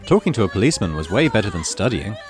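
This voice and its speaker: Low-voiced man narrating horror, very animated. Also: rapid-fire, music in the background. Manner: theatrical, suspenseful. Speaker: gaming channel host reading aloud. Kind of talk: dramatic scripted narration of a horror visual novel.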